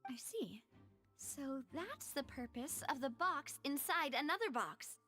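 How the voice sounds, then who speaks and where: High-voiced young female investigator. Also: lively intonation, measured pace, pushed and bright, exaggerated voice. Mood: thoughtful. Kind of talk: video game dialogue acting. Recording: faint music behind the voice.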